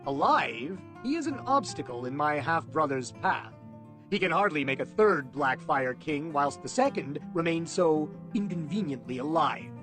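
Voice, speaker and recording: A man voicing a cold, imperious lord and royal minister, measured, formal and contemptuous, in an audiobook. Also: a little high, expressive, a bit bright, soft background music. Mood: coolly calculating.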